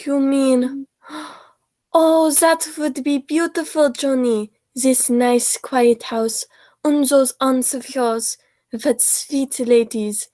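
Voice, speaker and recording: Dreamy, timid male crook's sidekick, acted by a young woman: amateur radio play, high voice, unhurried drawl, dry close sound. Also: steady tone, dark tone, no room sound. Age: young adult.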